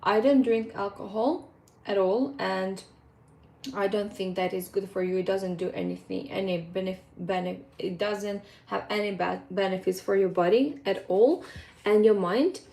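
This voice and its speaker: A woman's voice: medium pitch, moderate pace, gravelly, dark tone. A young woman with a Ukrainian accent, a fitness coach chatting to her viewers in an earnest, rambling way with plenty of ums. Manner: earnest, rambling, with frequent ums and non-native phrasing. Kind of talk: casual vlog monologue.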